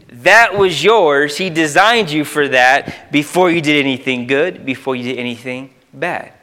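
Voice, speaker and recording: A man's voice: on the high side, sharp tone. A man preaching an informal sermon as a youth pastor, speaking emphatically with repeated phrases and conversational asides to his listeners. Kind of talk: informal sermon with scripture quotation and exposition.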